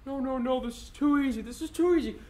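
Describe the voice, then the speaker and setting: A man's voice: very high-pitched, fast talker. A man playing a bored, taunting, unhinged criminal mastermind in an amateur audio drama, theatrical and mocking. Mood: manic, menacing. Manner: theatrical, mocking, swooping between hush and outburst.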